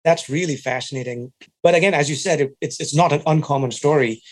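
A man hosting a podcast, asking his guest measured, curious questions. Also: a little high. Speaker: podcast host. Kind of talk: interviewer questions.

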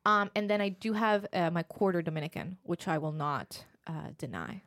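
A woman's voice: lowish voice, animated, moderate pace, fairly bright tone, no room sound, studio quality. A young woman from Puerto Rico with a Puerto Rican accent, a podcast guest chatting casually with lots of fillers and laughs. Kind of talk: casual chat.

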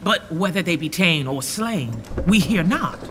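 A regal new king in a radio play, speaking slowly and formally with wide, commanding swings of pitch. The voice rings in a reverberant hall. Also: animated. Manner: measured, regal. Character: newly crowned king, stately, formal, commanding. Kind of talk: Shakespearean radio play acting. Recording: reverberant hall, faint noise.

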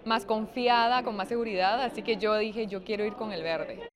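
A woman's voice: a little high, fairly even intonation, moderate pace. A woman from Latin America, a pageant contestant, answering casually in an interview among a crowd. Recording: crowd chatter around the voice.

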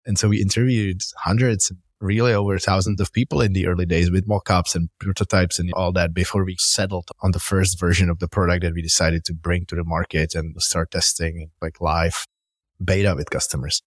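A man's voice: low-pitched, flat delivery, fairly quick, no echo. A middle-aged man with a Czech accent giving conversational, explanatory interview answers as a company founder. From Czech Republic. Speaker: startup founder and CEO, interview guest.

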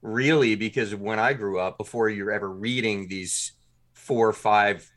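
A man's voice: fairly low pitch, steady tone, unhurried, fairly bright tone. A man guest on a podcast, a writer and producer, chatting casually and rambling with many fillers and restarts.

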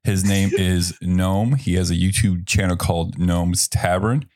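An American man with a raspy voice, casually hosting a podcast as a bartender. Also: deep voice, steady tone, a little slow, a little mellow.

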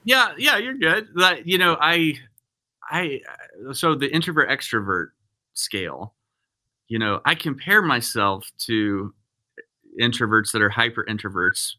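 A man's voice: medium pitch, expressive, unhurried, pushed and bright. A middle-aged man, a pastor, talking casually and rambling with many restarts. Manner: rambling, self-interrupting, frequent restarts. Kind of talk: casual podcast conversation.

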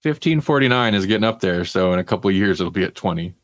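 A man's voice: sits low, expressive, quick pace, dry recording. A man hosting a casual pop culture talk show in a wry, conversational way. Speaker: podcast host.

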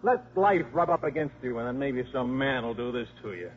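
A man's voice: medium pitch, expressive, fairly quick, close to an everyday voice. An actor playing a cynical, combative American football coach and father, slangy and sardonic, in a 1950s radio drama. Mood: scornful. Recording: dull, noisy 1950s radio recording.

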